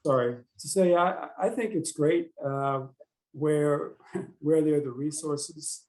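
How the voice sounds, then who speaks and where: A man's voice: on the high side, slow, darkish tone. A man, a newspaper editor, speaking hesitantly with many fillers on a panel discussion over a video call.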